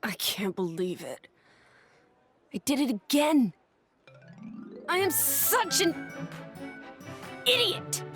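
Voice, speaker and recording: A young woman of a fantasy game, proper and easily offended. Her voice is high and bright, with unhurried pacing and big leaps in pitch. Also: huge pitch swings, faint music behind, heavily acted. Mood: mortified. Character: polite young woman, proper but quick to indignation. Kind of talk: video game cutscene acting.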